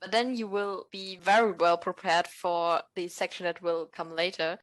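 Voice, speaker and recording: A woman's voice: lowish voice, fairly even intonation, moderate pace, no echo. A woman hosting a casual podcast interview, keeping to brief and encouraging prompts. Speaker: podcast host.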